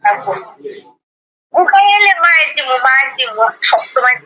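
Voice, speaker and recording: High, bright, quick woman on a call. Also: sing-song. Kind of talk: casual intimate chat on a call. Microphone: phone video call. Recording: dull, lo-fi call recording.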